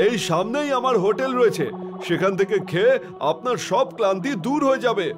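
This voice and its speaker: A man playing a wheedling, scheming roadside eatery owner with salesman-like patter in an animated horror story. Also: high-pitched, a little fast, music in the background. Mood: coaxing.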